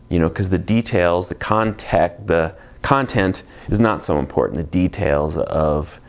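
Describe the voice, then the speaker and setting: A man's voice: low-pitched, darkish tone. A man in his forties, an energy healer, giving a reflective, meandering spiritual talk with many fillers and restarts. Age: 40s. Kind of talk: unscripted spiritual teaching monologue.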